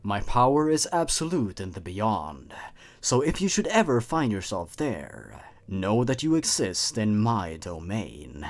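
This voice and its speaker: Guy doing a stern ancient time master, deep and slow, swoops around a lot, no mercy at all. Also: heavily acted. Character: ancient all-powerful male time being, stern, merciless. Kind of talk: horror story narration.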